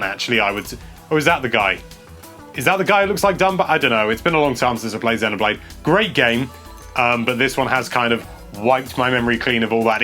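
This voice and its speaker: A young man hosting a casual gameplay commentary, chatty and excitable, stumbling over his words and laughing a lot. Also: medium pitch. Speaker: games journalist host. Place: small indoor room.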